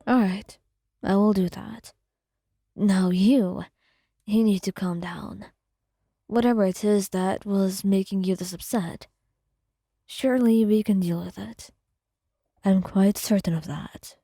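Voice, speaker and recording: Woman whispering as an awkward, steady man. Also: medium pitch, takes it slowly, vocal fry, long pauses, no echo, clean studio sound. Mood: hesitant. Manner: hesitant, hushed whisper. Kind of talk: whispered ASMR roleplay.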